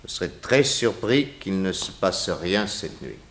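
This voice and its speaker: Male detective lead, sixties radio drama: lowish, very lively intonation, commanding and sharp-witted. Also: unhurried, full character voice. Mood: calm, planning. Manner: authoritative, incisive questioning. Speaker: actor in a radio detective serial. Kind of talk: 1960s French radio drama acting.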